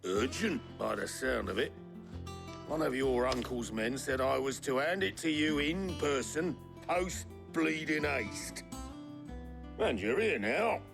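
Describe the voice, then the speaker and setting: An actor playing a chatty, cheeky boatman with a gravelly voice and a working-class English accent, droll and colloquial in a video game. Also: mid-range voice, animated, slow pace, music in the background. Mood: amused, easygoing. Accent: British, working-class English. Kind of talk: character voice acting in a video game.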